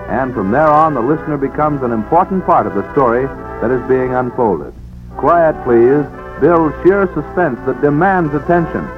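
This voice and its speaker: A male announcer reads scripted radio copy in a formal 1940s manner. His voice sits a little high. Music plays behind him on a tinny old broadcast recording. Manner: formal, polished.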